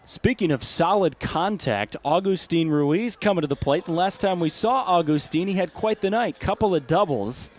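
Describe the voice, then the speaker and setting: A man's voice: high voice, lively intonation, normal speed, hardly any pauses, a little mellow. A man with an American accent calling baseball play-by-play as a live broadcast commentator.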